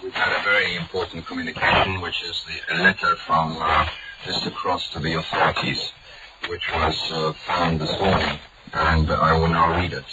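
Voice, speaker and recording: A man reporting for broadcast, talking in a hesitant way with many pauses and fillers. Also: low voice, flat delivery. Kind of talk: live field report and interview. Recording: muffled hissy old shortwave broadcast.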